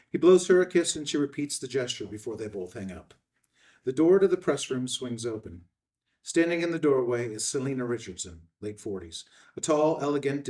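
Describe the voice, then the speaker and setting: A man's voice: medium pitch, a little mellow, far from the mic. A man reading out stage directions as scripted narration at a film table read.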